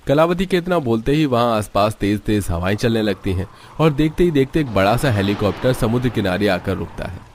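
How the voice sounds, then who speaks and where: Low-voiced male storybook narrator. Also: expressive, brisk, few pauses, a little mellow, slight background noise.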